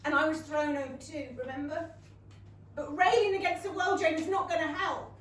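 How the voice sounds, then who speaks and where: Woman playing high-voiced spirited young Regency gentlewoman. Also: steady tone, moderate pace, close to an everyday voice. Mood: defiant, earnest. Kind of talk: staged theatre reading. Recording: roomy theatre, distant mic, faint noise.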